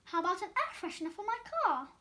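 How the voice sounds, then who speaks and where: A woman playing a kindly, practical man in a storybook, speaking in a gentle, reassuring manner. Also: very high voice, very animated, quick pace, slight background noise, full character voice. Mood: hopeful. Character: kindly, practical man who befriends a doughnut. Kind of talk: storybook read-aloud character voice.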